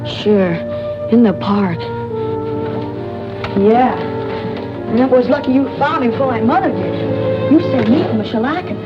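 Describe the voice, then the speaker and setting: A woman's voice: on the high side, lively intonation, measured pace, pauses often. An actress playing a chatty, restless young boy in a 1940s radio thriller, with an eager, gossipy manner. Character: chatty, restless young boy who dodges his strict mother. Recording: muffled 1940s radio recording with music.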